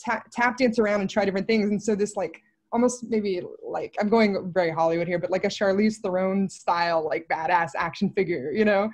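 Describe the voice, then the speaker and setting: Woman, thirties, mid-pitched voice, interview guest, casual, rambling asides, video call. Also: a little fast. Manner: rambling, animated phrasing with many asides. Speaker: film writer and director, interview guest. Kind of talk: casual, thinking-aloud interview answers. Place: indoor room on a video call.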